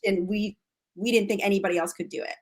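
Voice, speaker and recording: An American actress talking casually as an interview guest, chatty and full of restarts and fillers. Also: female voice, mid-range voice, steady tone, racing. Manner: chatty, frequent fillers and restarts, laughs often.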